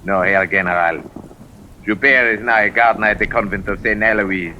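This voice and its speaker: Sharp, scheming German officer. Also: male voice, fairly low pitch, a little fast, dull audio. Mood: eager, reporting. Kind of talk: old-time radio drama acting. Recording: faintly noisy old radio recording.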